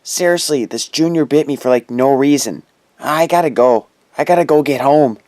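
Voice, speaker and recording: Brief: man as a frantic teen yelling from off-mic. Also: mid-range voice, moderate pace, dry recording, close to an everyday voice. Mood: panicked. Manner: frantic shouting. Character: panicked teen boy fleeing the dance.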